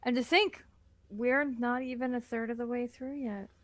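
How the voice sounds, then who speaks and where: Higher-voiced woman in casual banter. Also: fairly even intonation, normal speed.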